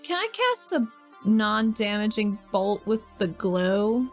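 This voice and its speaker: A woman at a tabletop game with a medium-pitched voice. She sounds eager and swears casually. Faint music plays behind her. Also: big pitch swings, slow pace. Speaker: player.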